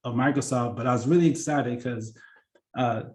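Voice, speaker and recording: A man's voice: medium pitch, normal speed. Chatty man giving a casual career talk. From born in Kenya, grew up in Seattle. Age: 30s. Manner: relaxed and chatty, many fillers and restarts. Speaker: workshop presenter.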